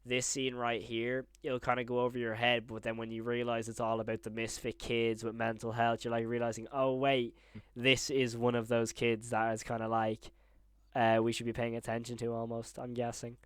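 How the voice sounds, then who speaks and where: A man's voice: mid-range voice, completely flat delivery, normal speed, clean tone, no room sound. A young man chatting casually as an enthusiastic, slangy host and interviewer. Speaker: YouTube horror reactor and interviewer, also a voice actor. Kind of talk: casual chat.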